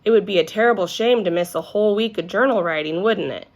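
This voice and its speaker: A woman's voice: medium pitch, fast, lo-fi sound, close to an everyday voice. A woman voicing an officious, instructive male grade-school teacher in a children's storybook. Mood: gently admonishing.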